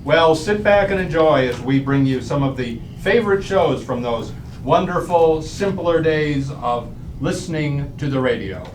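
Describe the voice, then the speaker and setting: Animated old-time radio show announcer. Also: medium pitch, a little slow, echoey room, distant mic, background noise.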